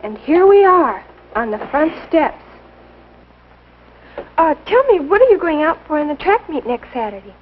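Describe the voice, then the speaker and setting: An outspoken, teasing teenage girl in an old classroom film. She speaks high and with very lively, pointed intonation. The sound is muffled and dated. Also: moderate pace, a little hiss or hum. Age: young adult. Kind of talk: 1950s instructional film acting.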